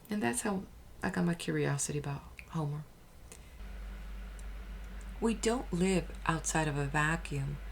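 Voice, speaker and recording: A woman hosting her own channel, talking casually and thoughtfully, with hesitations, restarts and soft near-whispered phrases. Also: deep voice, a little hiss or hum. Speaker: audiobook channel host. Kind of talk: casual spoken introduction.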